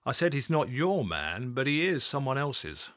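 A man voicing a matter-of-fact male police officer who speaks briskly and factually. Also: mid-range voice, very animated, a bit bright, no echo, studio quality. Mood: businesslike.

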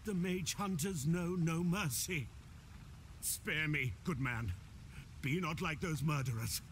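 A man's voice: fairly high pitch, slow pace, fairly bright tone, slight background noise. A man voicing a pompous, oily, cowardly merchant in a fantasy game, with formal, mannered speech. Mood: terrified pleading. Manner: formal, haughty, turning to grovelling. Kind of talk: video game voice acting.

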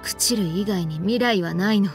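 A woman's voice: mid-range voice, soft background music, close to an everyday voice. Stern, frank young woman, played by an actress. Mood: firm reproach. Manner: firm, reproachful, deliberate. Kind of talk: anime game voice acting, dramatic dialogue.

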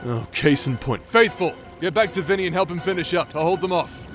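A man's voice: fairly high pitch, expressive, fairly quick, music in the background, lightly acted. A man acting a cocky, lewd, battle-crazed swordsman in an anime-style audio drama, brash, crude and teasing. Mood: urgent, commanding.

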